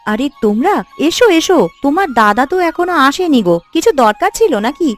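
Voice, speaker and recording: Casting: woman as practical, outspoken cartoon housewife, lively swings in a highish voice. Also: a little fast, faint music behind. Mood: concerned. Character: caring, outspoken village housewife, practical and bossy. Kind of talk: cartoon voice acting.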